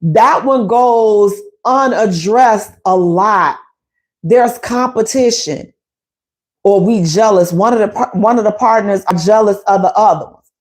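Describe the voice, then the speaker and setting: A woman's voice: mid-range voice, measured pace. A woman, hosting a casual relationship advice talk, emphatic and conversational, repeating her points.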